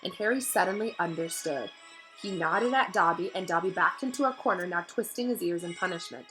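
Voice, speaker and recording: Bright-voiced woman reading a story aloud. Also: on the low side, fairly quick, faint music behind, low-quality recording. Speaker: read-aloud narrator. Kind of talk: expressive storybook narration.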